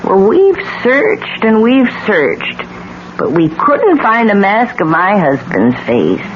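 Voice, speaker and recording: An actress playing a weary, haunted older widow in a muffled 1970s radio drama. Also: female voice, medium pitch, big pitch swings, takes it slowly. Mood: weary, uneasy. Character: weary, haunted widow recalling her husband's ghost. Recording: muffled, faintly noisy 1970s radio recording.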